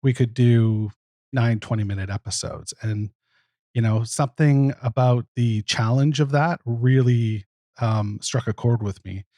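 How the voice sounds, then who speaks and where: Mellow-voiced man, thoughtful podcast guest. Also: medium pitch, unhurried, no echo. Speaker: podcast guest, producer and narrator. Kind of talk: casual interview answers.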